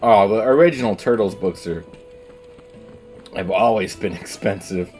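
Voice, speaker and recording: A man's voice: on the low side, unhurried, darkish tone, faint music behind. A man streaming a game, rambling casually with lots of ums and the odd swear word. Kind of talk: casual chat while gaming. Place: at home, streaming.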